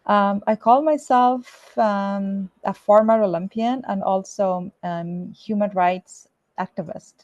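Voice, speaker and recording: A woman from Afghanistan, answering interview questions in a measured, sincere way with frequent pauses. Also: mid-range voice, a little mellow, no room sound, dull audio. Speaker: guest, former Olympian and human rights activist. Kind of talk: earnest conversational interview answers.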